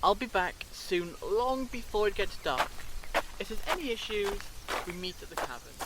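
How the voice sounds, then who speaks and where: A man's voice: sits high, sing-song, normal speed, heavy background noise. A man playing a wry, protective time-travelling investigator in a fan audio drama. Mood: cautioning.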